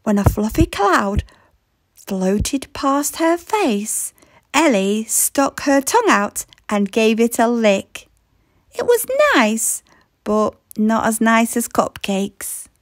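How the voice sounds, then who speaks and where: A woman reading a children's story aloud in expressive scripted narration. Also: on the high side, slow, no echo.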